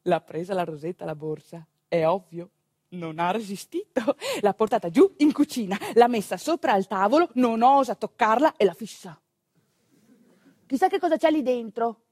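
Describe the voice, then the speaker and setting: A woman's voice: medium pitch, moderate pace, darkish tone, slightly rough, no echo, clean studio sound. A woman telling a theatrical story, with conversational asides to her listeners. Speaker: stage actress narrating a monologue.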